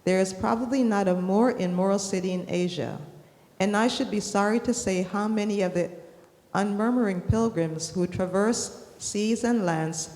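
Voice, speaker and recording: A woman's voice: medium pitch, moderate pace, darkish tone. A woman reading aloud from a formal historical book as a participant in a class. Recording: echoey hall, long reverb.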